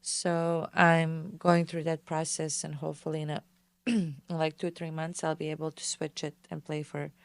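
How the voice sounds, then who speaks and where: A woman's voice: sits low, level tone, normal speed, vocal fry, no echo. A woman from Bosnia and Herzegovina, hosting a casual solo question-and-answer podcast in a chatty way, full of fillers. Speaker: podcast host and tennis pro.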